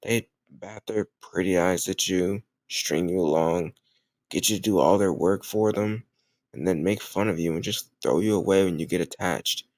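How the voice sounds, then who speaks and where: Casting: male actor as cocky teen jock bully, lowish voice, smug but secretly soft, close dry intimate roleplay audio. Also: normal speed, no echo, close to an everyday voice. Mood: quieter, conflicted. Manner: teasing, smug, hesitant murmured asides. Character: cocky, popular teenage football captain bully, secretly tender. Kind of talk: intimate scripted audio roleplay addressed to listener.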